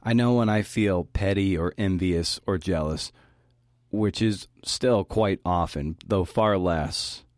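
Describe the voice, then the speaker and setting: Very deep-voiced man, dry close sound. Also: takes it slowly, a little mellow, dry recording. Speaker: podcast host giving a talk. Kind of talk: scripted talk narration linking interview clips.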